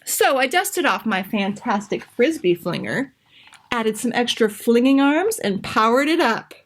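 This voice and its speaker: A spirited, stubborn little inventor girl from a picture book, played by a woman, speaks in a high, swooping voice. She is dramatic and exclamatory, taking her time over each phrase. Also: very animated, measured pace. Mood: determined. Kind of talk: children's picture book read-aloud.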